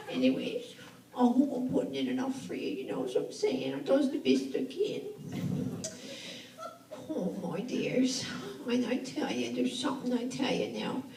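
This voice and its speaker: A woman's voice: high-pitched, creaky, full character voice. A woman playing a gossipy older churchgoing lady who chats confidingly to God in a folksy dialect, giggling as she goes. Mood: gushing. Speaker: comedian. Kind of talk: stand-up character monologue. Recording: echoey live stage from far off. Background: audience laughter and noise.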